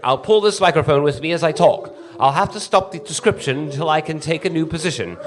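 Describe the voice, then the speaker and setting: An actor playing a frantic radio field reporter in a radio drama, breathless and urgent as an eyewitness. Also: male voice, a little high, a little fast, natural voice. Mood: horrified fascination. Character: frantic male radio field reporter, awestruck then terrified.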